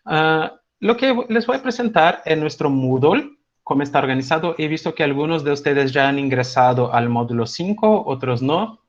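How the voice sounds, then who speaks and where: A man's voice: a little high, normal speed, few pauses. Non-native Spanish-speaking man teaching online. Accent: Mexico City Spanish with some Buenos Aires Argentine. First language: not Spanish. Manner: relaxed and conversational, many hesitations and tag questions. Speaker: seminar instructor. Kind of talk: live online lecture. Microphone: video call. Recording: slightly dull lo-fi video call audio.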